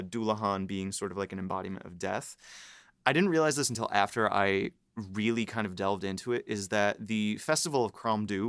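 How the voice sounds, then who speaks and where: A man's voice: lowish voice, fairly bright tone, no room sound, clean studio sound. A man who writes for the series, chatting casually behind the scenes and rambling with many restarts and frequent laughs.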